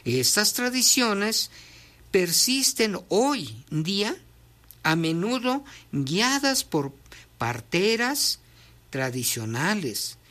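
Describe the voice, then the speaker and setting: A speaker talks in a patient, teacherly way, reading passages aloud and then explaining them. The voice sits at an ordinary middle pitch. They keep pausing to make sure the listener follows. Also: big pitch swings, pushed and bright. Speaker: guest explaining traditional midwifery. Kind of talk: conversational explanation, reading from a text and commenting.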